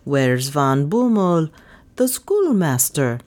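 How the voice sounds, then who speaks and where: A woman's voice: low-pitched, sing-song, takes it slowly, a little mellow, pitched below the performer's own voice, heavily acted. A woman voicing a bewildered, forlorn old man in a storybook, plaintive and questioning. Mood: anxious. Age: older.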